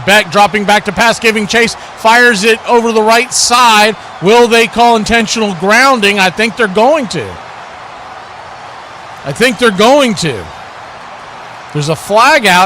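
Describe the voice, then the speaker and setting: A man with a high, forceful voice gives live American football commentary in short, excitable bursts. He calls each play as it unfolds, over some faint background noise. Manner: excitable, punchy clipped phrases. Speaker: play-by-play commentator on a livestream watch party.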